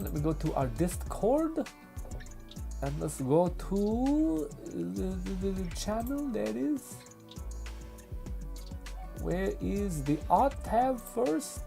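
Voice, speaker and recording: A man's voice: sits high, gravelly, dark tone. A young man hosting a livestream in rambling casual chat, reading chat aloud and laughing, his voice going from a dry throat. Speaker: livestream host.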